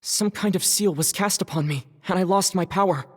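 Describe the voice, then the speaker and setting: A man playing an earnest young traveller in a game, narrating his story in a solemn, measured way. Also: sits high. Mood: solemn, resolute. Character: earnest young male traveller searching for lost sister. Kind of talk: dramatic game cutscene narration. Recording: long reverb, faint music behind.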